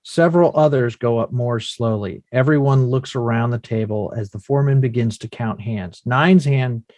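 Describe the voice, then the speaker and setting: A man narrates stage directions from a script. His voice is medium-pitched, captured close and dry with no room sound. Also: moderate pace, darkish tone, no echo.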